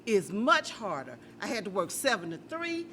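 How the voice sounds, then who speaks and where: A woman's voice: mid-range voice, big pitch swings, moderate pace, bright, forceful tone, slight background noise. A middle-aged woman, a former nurse speaking firmly and bluntly in a played debate clip. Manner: firm, blunt.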